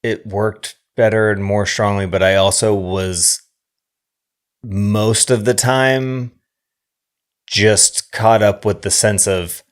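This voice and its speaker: A man with a low voice chats about movies as a podcast co-host. His delivery is thoughtful and halting as he feels his way through his reflections. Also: fairly even intonation, clean tone. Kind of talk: casual film discussion.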